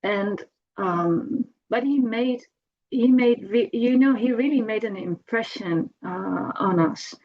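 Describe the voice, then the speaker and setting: A middle-aged woman giving an informal spoken remembrance, halting as she searches for words and repeats herself. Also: a little high, dull audio. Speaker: devotee giving a personal homage.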